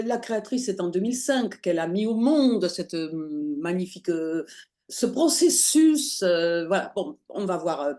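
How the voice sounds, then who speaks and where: A woman as an interview guest, telling her story conversationally, lively and often pausing with fillers as she searches for words. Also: on the low side. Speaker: interview guest, holistic practitioner.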